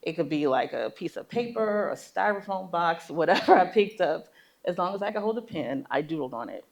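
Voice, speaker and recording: Woman, 40s, designer as interview guest: lowish, creaky voice, wry and conversational storytelling. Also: animated, brisk, darkish tone. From born in Guyana, Guyanese immigrant family. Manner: candid, wry, chuckles at own asides.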